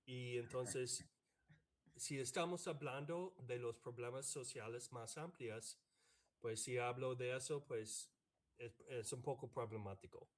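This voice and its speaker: American professor, non-native speaker, slow and unhurried, hesitant delivery, video call mic. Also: male voice, mid-range voice. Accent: American English. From United States. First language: English. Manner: halting, many fillers and restarts. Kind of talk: academic panel answer. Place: online video meeting. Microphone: computer mic on a video call.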